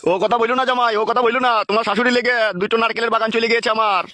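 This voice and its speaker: A man's voice: very high-pitched, little pitch movement, very fast, sharp tone, no room sound. A man voicing a lovesick, pleading older village man in a comedy cartoon, plaintive and melodramatic. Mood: pleading, aggrieved.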